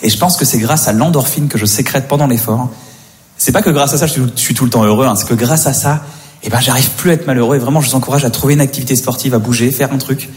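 A man's voice: medium pitch, fast talker. A man doing a stand-up routine, chatty and building up to playful rants. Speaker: stand-up comedian.

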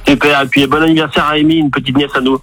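A man's voice: fairly high pitch, little pitch movement, rapid-fire, over music, poor audio. Friendly male radio caller. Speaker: radio caller, quiz contestant. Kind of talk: live phone-in quiz.

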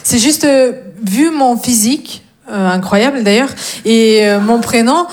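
A woman comedian with a Russian accent works a live crowd in a fairly high voice. She teases her listeners cheekily and swears freely as she delivers her stand-up monologue. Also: unhurried, a little mellow. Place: live comedy stage before an audience.

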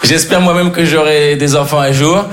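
A man performing a stand-up routine, musing aloud in a rapid flow. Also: on the high side, steady tone, crowd noise. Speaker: stand-up comedian. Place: comedy stage. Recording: roomy live recording.

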